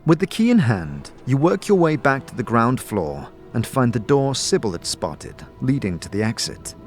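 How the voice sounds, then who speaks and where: A man giving dramatic, descriptive second-person narration for a fantasy audio drama, deliberate and theatrical. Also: mid-range voice, big pitch swings. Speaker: narrator and game-story performer. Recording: faint music behind the voice. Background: faint music.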